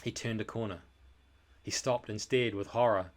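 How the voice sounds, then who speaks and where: A man reading a children's story aloud in a dramatic, expressive and deliberate narration style. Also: fairly low pitch. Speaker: storybook reader.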